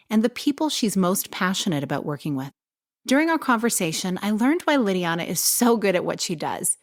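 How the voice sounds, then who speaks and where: A woman's voice: medium pitch, dry recording, clean studio sound. A woman hosting a podcast and working as a health coach, reading a polished, upbeat intro before the interview. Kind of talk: scripted intro then interview.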